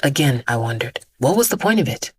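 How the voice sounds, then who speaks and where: An actress playing an immortal vigilante woman, weary, haunted and defiant, telling her story in an intense, measured audio drama monologue with hushed drops. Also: rumbling low, very animated, slightly rough, no room sound, exaggerated voice. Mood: somber, resolute.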